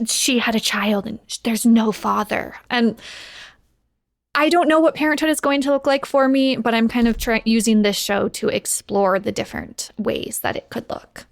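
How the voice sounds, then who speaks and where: Creaky-voiced American woman, closely recorded. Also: on the high side, normal speed, no echo, clean studio sound. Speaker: podcast guest and podcast maker. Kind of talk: casual podcast chat.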